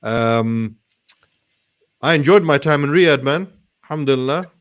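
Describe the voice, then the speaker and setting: A man hosts a relaxed chat show. He talks in a matey, informal manner at a middle pitch. Arabic phrases are sprinkled through his questions and introductions. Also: a little slow, smooth. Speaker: talk show host. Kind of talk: casual chat interview.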